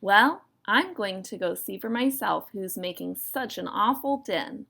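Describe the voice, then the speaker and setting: Bossy possum, woman reader, emphatic, bold, animated, slightly creaky, storybook character. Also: medium pitch, measured pace, heavily acted. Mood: demanding. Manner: emphatic, demanding, proclaiming. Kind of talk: children's storybook read-aloud.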